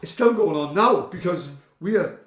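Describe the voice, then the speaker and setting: A man's voice: high-pitched, animated, normal speed, fairly bright tone. A man with a Welsh accent hosting a podcast, crude and jokey, swearing freely in casual banter. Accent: Welsh, Swansea. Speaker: podcast host. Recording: echoey room.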